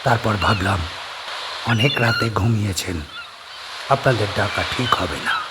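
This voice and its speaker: A man voicing a lonely, secretive middle-aged farmer on an island, speaking low, soft and measured. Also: heavy background noise, lightly acted. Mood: coaxing.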